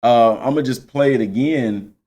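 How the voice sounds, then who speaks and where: A man giving casual, unscripted gaming commentary, addressing viewers directly and repeating points to make them clear. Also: medium pitch, normal speed, warm tone, dull audio. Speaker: video game channel host.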